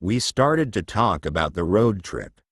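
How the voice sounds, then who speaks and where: A man reading a children's textbook story as scripted narration, in a measured, clear manner meant for learners. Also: low voice, very animated, fairly bright tone, no echo, clean studio sound.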